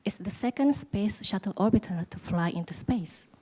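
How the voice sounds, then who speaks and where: A woman's voice: mid-range voice, expressive, normal speed, warm tone, slightly rough. A middle-aged woman gives a conference talk, asking the audience questions and joking about herself. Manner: self-deprecating, asks the audience questions. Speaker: conference speaker. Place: conference hall.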